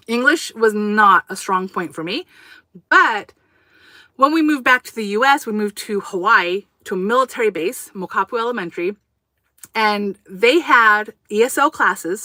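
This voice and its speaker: A woman whose first language was Japanese chats casually on a livestream from her RV, rambling and correcting herself as she goes. Her voice is bright and forward, and the sound is dry and close with no room echo. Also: mid-range voice. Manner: rambling, self-correcting, conversational. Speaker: livestream host. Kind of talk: casual livestream chat, reacting and reading comments. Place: inside her RV. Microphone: camera on a tripod.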